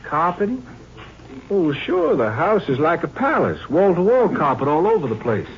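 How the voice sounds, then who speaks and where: A high, lively male voice on a dull old radio recording. a homespun print-shop worker, a bit hesitant, with pitch that swings up and down in 1950s radio style. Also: normal speed, slight background noise. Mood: eager, obliging. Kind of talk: 1950s radio drama acting.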